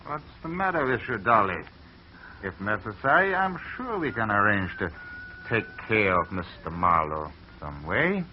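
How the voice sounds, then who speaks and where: A man's voice: fairly low pitch, animated, normal speed, a little hiss or hum, lightly acted. A man playing a suspicious, domineering older farm owner in a 1940s radio drama, on a muffled old recording. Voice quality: commanding, suspicious.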